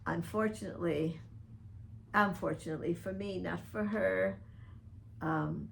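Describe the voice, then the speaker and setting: A woman's voice: fairly low pitch, unhurried, vocal fry, slight background noise. An older woman from the Dominican Republic, speaking straight to one listener by name in an earnest, pointed personal video message. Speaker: vlogger addressing a public figure. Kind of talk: personal open-letter video monologue.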